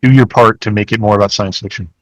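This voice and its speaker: A man with a fairly low voice hosts a podcast in relaxed, slangy conversation. His manner is laid-back and chuckly, and the sound is dry, with no room around it. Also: fast, dry recording, low-quality recording. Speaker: podcast host. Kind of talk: casual chat.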